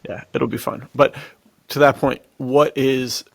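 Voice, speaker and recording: A man's voice: medium pitch, little pitch movement, a little slow, gravelly, no echo. A man co-hosting a podcast in casual chat, with a jokey manner. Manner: jokey, laughs through his talk. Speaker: podcast co-host.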